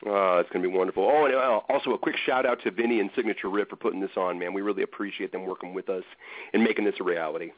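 A man's voice: low-pitched, racing, no echo. A man, a guest musician, calling in to a radio interview and talking with upbeat enthusiasm. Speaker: guest band member. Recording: tinny dry phone line.

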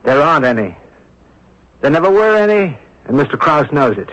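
An actor playing a shrewd, self-assured sleuth in a radio drama, speaking with deliberate, wry formality. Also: male voice, a little high, very animated, fairly bright tone. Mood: wry, confident. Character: shrewd, self-assured male sleuth calmly cornering a swindler. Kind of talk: old-time radio drama acting. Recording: dull lo-fi radio recording.

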